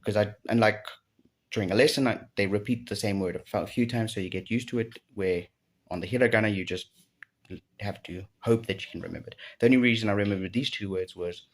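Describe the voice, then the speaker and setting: A man's voice: on the low side, fast. A man with a South African accent casually recapping his week of learning, rambling and correcting himself as he goes. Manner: rambling, self-correcting, unsure. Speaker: language learner vlogger.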